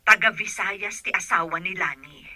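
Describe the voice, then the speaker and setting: A woman's voice: fairly low pitch, moderate pace, pushed and bright, dry recording. A woman acting a protective, plain-spoken provincial mother in an Ilocano radio drama. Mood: teasing, curious.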